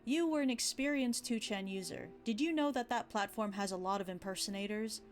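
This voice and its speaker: A woman's voice: mid-range voice, a little fast, soft background music, lightly acted. A woman reading as a stern courtroom questioner. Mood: stern, probing.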